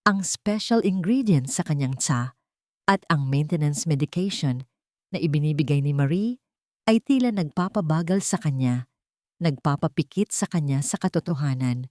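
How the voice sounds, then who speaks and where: A woman's voice: deep voice, lively intonation, normal speed, darkish tone, no room sound, studio quality. A woman storyteller reading a scripted dramatic story.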